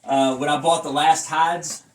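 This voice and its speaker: A man's voice: a little high, little pitch movement, a little slow. A man chatting casually in a rambling hobby review, with a folksy, self-deprecating and casually profane manner, recorded on a phone away from his mouth. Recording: noisy phone recording, distant mic.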